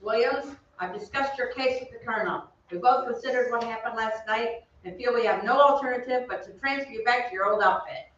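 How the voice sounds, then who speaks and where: An actor playing a brisk, commanding male army sergeant with clipped, authoritative delivery in a staged old-time radio drama. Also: medium pitch, a bit bright, natural voice. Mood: stern. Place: theatre stage. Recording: very echoey, distant, dull, faintly noisy stage.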